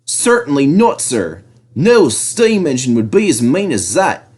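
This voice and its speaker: A man's voice: medium pitch, very animated, measured pace, a little mellow, breathy edge. A man voicing an earnest, polite, plucky male tank engine in a storybook reading. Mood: grave, dry.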